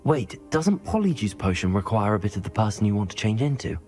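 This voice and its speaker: A young student wizard in a game, earnest and polite, lowish voice, faint music behind. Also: male voice, very animated, brisk, a little mellow. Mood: earnest, inquisitive. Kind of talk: video game cutscene acting.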